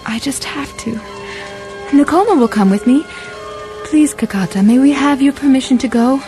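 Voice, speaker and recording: Brave young chief's daughter for kids' read-along: fairly high voice, earnest, expressive, determined. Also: female voice, moderate pace, soft background music. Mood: pleading, earnest. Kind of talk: children's read-along story acting.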